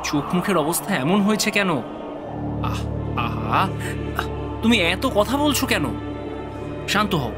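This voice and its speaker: A man's voice: high-pitched, sing-song, slow, pauses often, music in the background, exaggerated voice. A man playing a concerned, caring friend in an audio drama, anxious and soothing. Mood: worried soothing.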